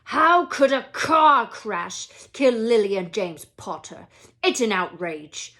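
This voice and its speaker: Woman acting out big gruff wizard man, storybook, unhurried pace, folksy dropped endings, emotional, good-hearted, anxious. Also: a little high, big pitch swings, sharp tone, full character voice. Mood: outraged.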